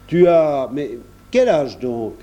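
A man's voice: medium pitch, big pitch swings, slow pace, warm tone, voice pushed up. Guy doing a plain-spoken farmer in a storybook, easily amazed, voice swoops way up, folksy and good-natured. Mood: affectionate, jovial. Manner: exclamatory rustic talk with folksy turns.

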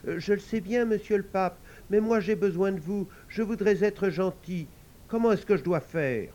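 A man's voice: high-pitched, moderate pace, warm tone, dull audio, pitched above the performer's own voice, natural voice. A man voicing a small, polite young male devil, earnest and timid. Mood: earnest pleading.

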